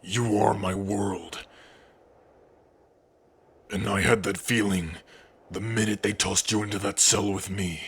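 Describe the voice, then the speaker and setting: A man playing a big, devoted, protective orc husband in an intimate ASMR roleplay, speaking tenderly in a husky, breathy voice with sighs and pauses. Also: fairly low pitch, steady tone, full character voice. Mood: devoted, earnest. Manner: slow, tender, sighing, with pauses.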